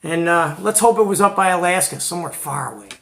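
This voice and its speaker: A man's voice: sits high, fast, creaky, a bit bright. A man hosting a hobby show, giving casual, chatty show-and-tell commentary in informal, slangy language. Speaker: host of a CB radio hobby show.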